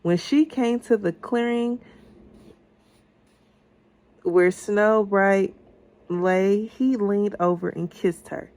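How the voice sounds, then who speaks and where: Woman, mother, storybook read-aloud, unhurried pace, some vocal fry, casual asides. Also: medium pitch, a little mellow.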